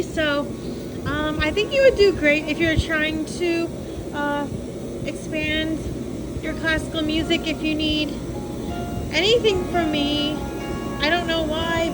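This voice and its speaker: Slow, high-voiced woman rambling over loud music. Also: poor audio. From Florida, USA. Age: 30s. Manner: meandering, with many fillers and restarts. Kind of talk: rambling personal monologue.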